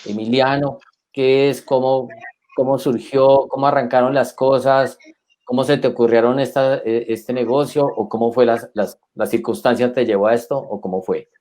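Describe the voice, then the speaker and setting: Man, interview host, mid-pitched, rambling questions, casual. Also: fairly even intonation, normal speed, darkish tone. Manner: rambling, rephrases his questions.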